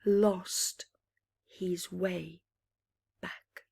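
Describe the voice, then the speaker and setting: A woman reads a children's picture book as a storyteller. Her pace is extremely slow and her voice has a husky, breathy edge. She is dramatic and expressive, dropping into hushed explanatory asides. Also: on the low side.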